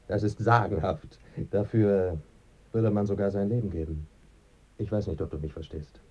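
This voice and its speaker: A man's voice: on the low side, steady tone, moderate pace, a little mellow, husky, lo-fi sound. A man acting in a German radio play as a dead writer, a bantering, evasive, pleasure-loving friend who savours his words. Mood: jovial, evasive.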